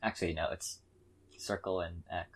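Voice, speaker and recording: A man's voice: sits low, steady tone, normal speed, breathy edge, dry recording. A man co-hosting a podcast, making laid-back, offhand remarks in casual chat. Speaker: podcast co-host.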